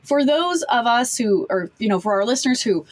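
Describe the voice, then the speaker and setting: A woman's voice: a little high, animated, fairly quick. American woman hosting a farm podcast. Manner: friendly, reads introduction then chats. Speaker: podcast host. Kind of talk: interview hosting.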